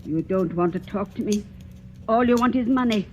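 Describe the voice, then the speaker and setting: An actress playing a stern, bitter old spinster, imperious and scolding, in old-time radio horror style. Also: female voice, mid-range voice, normal speed, a little mellow, slight background noise, natural voice. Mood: cold, defiant. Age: older. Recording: muffled vintage radio recording, faint music.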